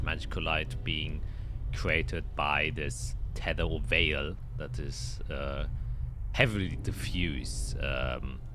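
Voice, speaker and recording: A man with an extremely low voice runs a tabletop roleplaying game as game master. He describes scenes off the cuff, halting and picking up again, with clear music playing behind him. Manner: halting, descriptive narration with pauses mid-sentence.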